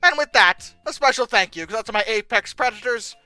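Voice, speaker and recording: Sarcastic commentary host, swooping intonation, bright forceful voice, mock outrage, exasperated delivery. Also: medium pitch, animated, fairly quick, clean tone, no echo, soft background music. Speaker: host of a paleontology commentary show. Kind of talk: comedic reaction commentary, reading aloud and critiquing.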